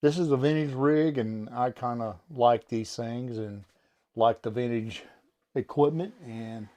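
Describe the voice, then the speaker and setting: Guy doing a laid back product demo, slow and halting, folksy, soft mellow tone, mid pitch. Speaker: radio seller and technician demonstrating equipment. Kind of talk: casual product demonstration.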